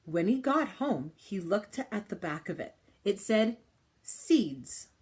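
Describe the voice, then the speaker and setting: A woman reading a children's picture book aloud in an expressive style, with deliberate, dramatic phrasing and pauses. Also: mid-range voice. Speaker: storybook reader.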